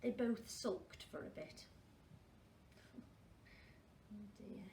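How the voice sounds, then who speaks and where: A woman's voice: medium pitch, drawn-out words, mellow. A woman reading a story aloud to young children as a school storyteller, adding questioning asides to her listeners. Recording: roomy, distant mic, faint noise.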